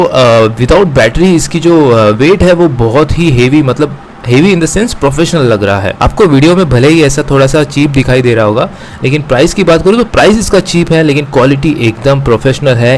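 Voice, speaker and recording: A man's voice: mid-range voice, slight background noise. A man with an Indian accent presenting an unboxing and review to viewers, explaining step by step and slipping into English phrases. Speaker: host of a gadget review channel. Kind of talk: unboxing and product review narration, addressing viewers.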